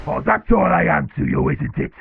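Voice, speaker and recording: A bitter caged werewolf taunts in a very creaky, fairly high growl. The sound is narrow and radio-like with clear noise, in audio drama style. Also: male voice, lively intonation, normal speed, no echo, full character voice. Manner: mocking, menacing taunts. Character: caged male werewolf, snarling, bitter and threatening. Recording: thin, noisy, radio-like band-limited sound.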